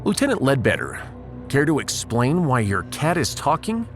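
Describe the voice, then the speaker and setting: A man's voice: medium pitch, wildly swooping pitch, moderate pace, soft background music. A man playing a wry, authoritative and exasperated starship captain in a sci-fi audio drama. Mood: commanding, exasperated.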